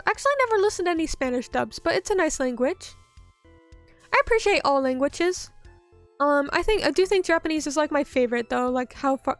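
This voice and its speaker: A woman streamer chatting casually with her viewers, reading their messages aloud and rambling with lots of "like" and "um.". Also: high-pitched. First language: English. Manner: rambling, many fillers like "like" and "um".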